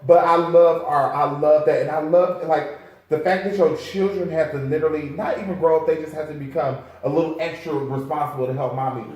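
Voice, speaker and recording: Male American host, higher-pitched voice, effusive and slangy, chatty interviewing, recorded distant from the mic. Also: steady tone, brisk, a little mellow. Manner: effusive, encouraging, sassy slangy talk. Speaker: makeup artist. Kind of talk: casual, chatty interview talk. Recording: very echoey room, far from mic.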